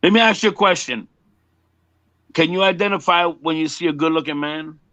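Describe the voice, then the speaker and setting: A man's voice: fairly high pitch, a little fast, sharp tone, dry recording. A man in his fifties, a music performer chatting casually in an interview, joking and repeating himself emphatically on muffled lo-fi audio. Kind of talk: casual one-on-one chat.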